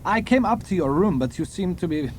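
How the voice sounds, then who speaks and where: A man plays a smooth German officer in an adventure story, insinuating and courteous. The voice is fairly high and mellow, with lively swings in pitch. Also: a little fast, a little hiss or hum. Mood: polite, probing. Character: smooth, scheming German male officer in command. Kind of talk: audiobook character acting.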